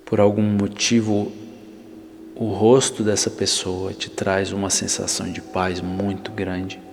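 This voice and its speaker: Deep-voiced Brazilian man guiding in long reverb. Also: level tone, gravelly. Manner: soothing, deliberate, with long pauses. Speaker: guided meditation narrator. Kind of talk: ASMR guided visualization, scripted narration. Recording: long reverb, faint hiss and ambience.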